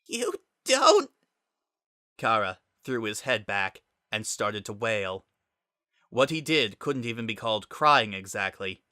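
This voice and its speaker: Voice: medium pitch, unhurried, sharp tone, clean tone, dry recording, clean studio sound. A narrator giving a dramatic scripted reading of a story.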